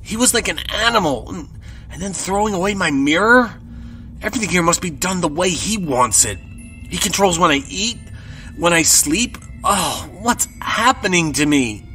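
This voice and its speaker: A man's voice: sits high, animated, normal speed, bright, forceful tone, faint music behind. An actor playing a frightened young man trapped in a vampire's mansion, talking to himself anxiously and stammering. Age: young adult. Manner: anxious, stammering inner monologue.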